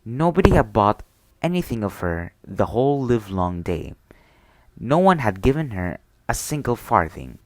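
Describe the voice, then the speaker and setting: Guy doing soft intimate asmr bedtime stuff, lilting and expressive, really close dry sound, bit whispery. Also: fairly low pitch, normal speed, no room sound, poor audio. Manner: soft, intimate, soothing delivery, partly whispered. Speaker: ASMR storyteller. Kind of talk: ASMR bedtime roleplay and storybook reading.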